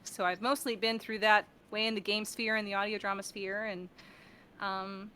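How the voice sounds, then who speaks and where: A woman's voice: mid-range voice, flat delivery, moderate pace, pushed and bright, a little hiss or hum. A woman chatting casually as an interview guest, playful and rambling as she talks about her work. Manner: playful, rambling, uses silly coinages. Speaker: guest, voice actor and audio director.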